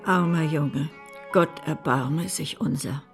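An actress in a radio play voicing a brusque, eccentric older aunt, emphatic and commanding with a creaky edge. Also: female voice, sits low, slow pace, soft background music. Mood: pitying.

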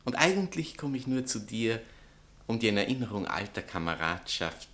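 An actor playing a vain, sardonic bohemian writer and spurned lover, pompous and mocking, in a satirical radio play. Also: male voice, fairly low pitch, very animated, moderate pace, a bit bright. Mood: grandiose. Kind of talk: theatrical literary satire dialogue.

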